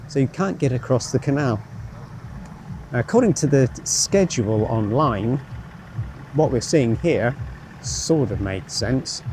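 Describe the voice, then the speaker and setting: A male travel vlogger speaks slowly and in a mellow tone, musing as he goes. His commentary is casual and wryly self-deprecating, recorded outdoors with light street and vehicle noise behind him. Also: medium pitch. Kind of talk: casual walking travel commentary, thinking aloud. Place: outdoors, walking by a road and canal. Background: street ambience, vehicles.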